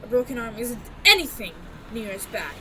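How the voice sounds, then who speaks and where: Woman doing a scared defiant informant, high lively voice, yelling, audio drama. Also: moderate pace, noisy background. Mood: panicked. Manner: snappish and panicky, yelling.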